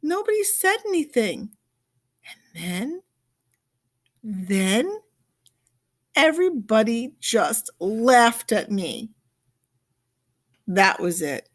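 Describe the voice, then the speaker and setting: A woman reading a children's picture book aloud expressively, with dramatic pauses and drawn-out emphasis on words. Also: mid-range voice. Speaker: children's storybook reader.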